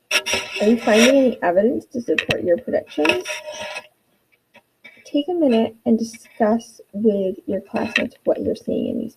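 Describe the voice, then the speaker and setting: A woman's voice: fairly high pitch, background noise. A woman teacher giving an instructional read-aloud for students, encouraging them with guiding questions.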